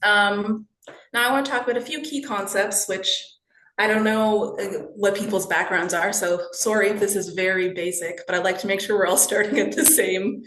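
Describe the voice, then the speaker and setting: A woman's voice: mid-range voice, steady tone, normal speed, fairly bright tone, roomy sound, low-quality recording. A woman in her thirties from Toronto, giving an academic talk remotely in a conversational way, with frequent fillers and self-corrections. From Toronto, Canada. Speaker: researcher giving a colloquium talk. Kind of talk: academic presentation.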